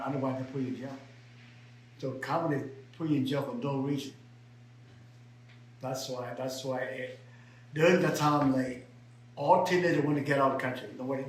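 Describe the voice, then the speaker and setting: Older Vietnamese-accented man, echoey room. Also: mid-range voice. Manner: halting broken English, many repeats, rising to emphatic shouts. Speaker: interviewee. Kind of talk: personal storytelling.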